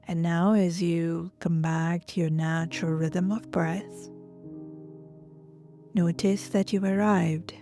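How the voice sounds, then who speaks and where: A woman guides a relaxation practice at a very unhurried pace. Her voice is mellow and fairly low, gentle and soothing, slipping at times toward a whisper. She gives breathing and movement cues as a yoga teacher would. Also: steady tone. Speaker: yoga nidra instructor. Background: soft music.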